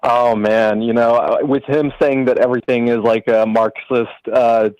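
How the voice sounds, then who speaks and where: A man's voice: medium pitch, fairly even intonation, normal speed, smooth. A man calling in to a sports podcast, stumbling through fillers and restarts as he talks. His voice comes through a slightly dull, muffled call line, dry with no room echo. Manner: hesitant, many fillers and restarts. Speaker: caller. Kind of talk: phone-in chat.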